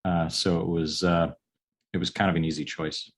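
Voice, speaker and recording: Low-pitched man in his forties, unhurried and halting, guest on an interview podcast. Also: little pitch movement, a little hiss or hum. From upstate New York. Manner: halting, many ums and restarts. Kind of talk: casual interview answers.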